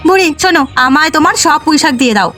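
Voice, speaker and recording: Lady doing pushy quarrelsome cartoon village wife, talks fast and high, always scolding. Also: animated, sharp tone, soft background music. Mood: demanding, threatening. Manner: scolding, demanding. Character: greedy, quarrelsome village wife bullying an old woman. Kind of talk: Bengali cartoon dialogue.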